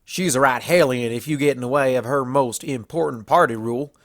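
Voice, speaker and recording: A man's voice: mid-range voice, brisk, a bit bright, close to an everyday voice. A man voicing a stubborn, blunt young female farm pony in a storybook reading, with folksy country phrasing. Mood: firm, bossy. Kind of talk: storybook fan fiction character voice.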